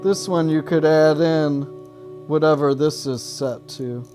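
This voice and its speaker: A man walks through a gear demo in a casual, improvised way. His voice sits a little high and he speaks slowly, often halting as he thinks aloud. Electric guitar is clearly heard behind him. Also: fairly even intonation, a little mellow. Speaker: gear reviewer and demonstrator. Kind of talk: casual off-the-cuff product demo.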